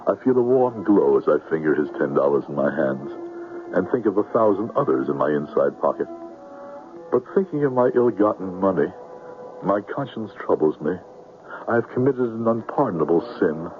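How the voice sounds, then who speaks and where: An actor playing a smooth-talking swindler posing as a reverend, with pompous, florid phrasing in a 1970s radio drama. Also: male voice, deep voice, very animated, moderate pace, soft background music. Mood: confiding, uneasy. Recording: noisy, narrow-band 1970s radio recording.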